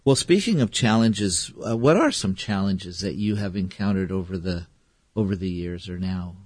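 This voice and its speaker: A man's voice: low-pitched, animated, moderate pace, darkish tone, no room sound. A man interviewing a guest in an easygoing, affable way, chuckling often as he talks. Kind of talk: casual interview talk.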